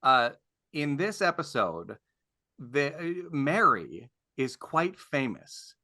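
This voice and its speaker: Bright-voiced man chatting casually. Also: on the high side, big pitch swings, slow pace. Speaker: podcast panelist. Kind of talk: casual chat.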